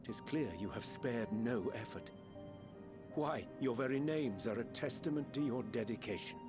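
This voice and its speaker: An actor playing a calm, scholarly man in a fantasy video game, speaking in formal, eloquent, archaic phrases. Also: mid-range voice, normal speed, over music, lightly acted. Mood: gentle, reassuring.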